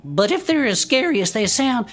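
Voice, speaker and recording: A woman playing an excitable former adventurer hosting an audio drama show, with a folksy Southern US accent and theatrical, chatty delivery. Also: on the high side, moderate pace. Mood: amused, wary.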